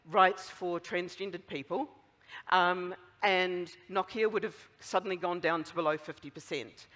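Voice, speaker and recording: A speaker with a strong New Zealand accent giving a conference closing talk, chatty and wry, with many fillers. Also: male voice, high voice, sharp tone. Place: large conference hall. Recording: long hall reverb.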